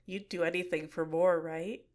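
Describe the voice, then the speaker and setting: A woman's voice: fairly low pitch, fairly even intonation, normal speed, close to an everyday voice. A woman voicing a smug, teasing young villainess. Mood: coaxing, menacing. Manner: soft-spoken, teasing, laughs often. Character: cute, shy-seeming young female villain, manipulative and smug. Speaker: ASMR roleplay performer. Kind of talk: ASMR roleplay.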